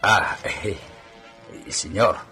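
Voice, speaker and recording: A man's voice: sits low, slow, sharp tone, husky, soft background music, full character voice. A man acting a servile, eager henchman to a rich master in a radio drama. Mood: deferential. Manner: obedient, eager, deferential.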